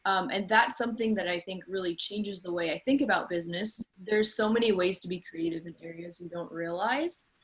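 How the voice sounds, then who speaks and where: Slightly low-voiced woman, conversational and earnest. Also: brisk, hardly any pauses, low-quality recording. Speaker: designer and small business owner, panel guest. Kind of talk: informal panel talk about own career.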